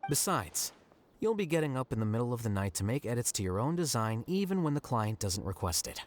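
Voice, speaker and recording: A man voicing a composed, blunt male scholar in a video game, deadpan and matter-of-fact. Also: medium pitch, brisk, slight background noise, natural voice. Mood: blunt, sardonic.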